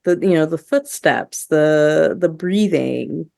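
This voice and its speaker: Low-voiced woman with a creaky edge. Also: slow, warm tone, dry recording, studio quality. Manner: chatty, enthusiastic, heavy use of "like". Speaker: podcast co-host. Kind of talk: casual podcast review chat.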